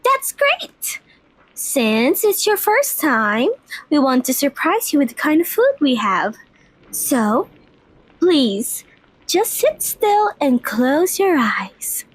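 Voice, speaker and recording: Casting: woman as girlish vampire mistress for ASMR roleplay, very high voice, leisurely delivery, playful and sweet. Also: big pitch swings, takes it slowly, no echo, full character voice, rough recording. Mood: playful, friendly. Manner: bubbly, informal, giggly.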